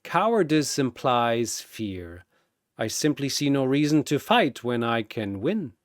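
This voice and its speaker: A man's voice: mid-range voice, big pitch swings, takes it slowly, no room sound, clean studio sound. A man voicing a cold, gloating mad scientist captain who speaks in a clinical, condescending, formal way, in a dramatic story.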